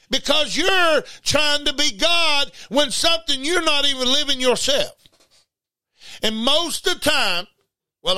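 A man's voice: extremely high pitch, bright, forceful tone, no echo. An angry man preaching in a confrontational, scolding way, firing off rhetorical questions and repeating his refrains. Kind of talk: fiery informal sermon.

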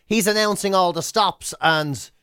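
An Irish man hosting radio comedy, bantering in an excitable way and repeating phrases for comic effect. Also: sits high, measured pace, clean tone, no echo, clean studio sound.

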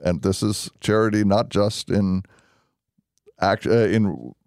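A man speaking as a deacon and radio host, conversational and earnest, explaining things deliberately. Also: on the low side, vocal fry, darkish tone, no echo, clean studio sound. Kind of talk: conversational religious radio hosting.

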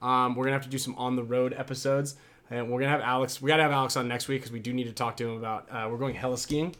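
A man's voice: mid-range voice, fairly even intonation, rapid-fire, a bit bright. A man around forty hosting a casual talk show, making announcements in a slangy, laid-back way and often repeating phrases. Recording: noticeable background noise.

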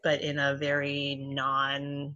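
A woman from Ohio, a podcast guest, introducing herself in a slow, wry, joking way. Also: a bass voice, completely flat delivery, sharp tone, muffled recording. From Ohio, United States. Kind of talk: casual podcast chat.

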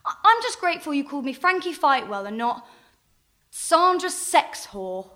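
A sharp, bright and slightly high voice of a brash young action heroine. She is wry and mocking, punning her way through a radio play as a sardonic adventurer. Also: big pitch swings, moderate pace, full character voice.

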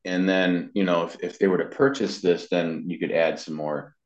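Low-voiced man in a roomy recording. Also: steady tone, normal speed. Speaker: interview guest, voice actor and web designer. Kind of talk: casual interview talk. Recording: dull, roomy, lo-fi sound.

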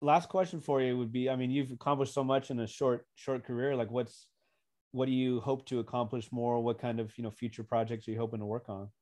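A man's voice: mid-range voice, level tone, a little mellow. Encouraging male podcast host. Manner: supportive, quick short back-channel replies. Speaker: podcast host, former teacher. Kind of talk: interview chat.

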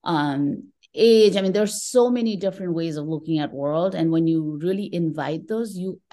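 A woman's voice: fairly low pitch, moderate pace, warm tone. A middle-aged woman from Nepal speaking reflectively as a guest in a conversational interview, often checking in with short tag questions. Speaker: podcast guest, tech professional.

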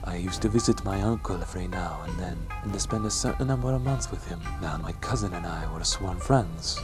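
A man playing a remorseful king's son who recounts his past in formal, measured, theatrical audio drama narration. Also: low-pitched, close to an everyday voice. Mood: solemn, reminiscent. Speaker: storyteller. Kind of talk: scripted audio drama narration of an archaic tale. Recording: music behind the voice. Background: music.

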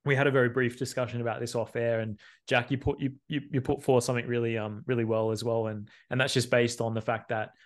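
A man's voice: mid-range voice, level tone, fast talker, hardly any pauses. A man co-hosting a podcast, agreeing with others and leading questions, with plenty of fillers. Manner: agreeable, lots of fillers and restarts, leads questions. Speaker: podcast co-host. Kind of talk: casual podcast chat.

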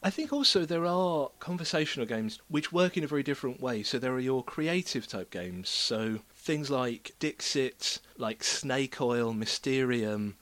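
A man guesting on a podcast with a stuffy nose from a cold, giving measured, reflective opinions in casual chat. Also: mid-range voice, fairly bright tone, no room sound.

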